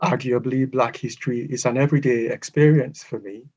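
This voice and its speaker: A man from Ghana giving a prepared online lecture in measured, formal phrases with pauses. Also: mid-range voice, steady tone, darkish tone, breathy edge. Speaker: guest speaker, psychotherapist. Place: online video call. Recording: dull, lo-fi video call audio.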